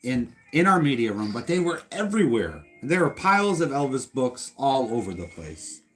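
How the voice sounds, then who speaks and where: Lively joking man. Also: medium pitch, moderate pace, slight background noise. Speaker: co-host of a retro livestream. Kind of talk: casual live chat.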